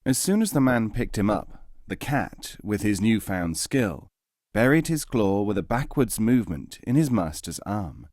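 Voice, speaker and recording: Man, audiobook narrator, articulate scripted storytelling, lively varied intonation, no room echo. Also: fairly low pitch, a little slow, studio quality.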